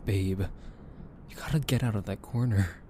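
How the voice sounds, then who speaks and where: A young man in a soft ASMR roleplay, gently soothing and teasing the listener with soft laughs, hesitant fillers and the odd whispered aside. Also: fairly low pitch, normal speed, background noise.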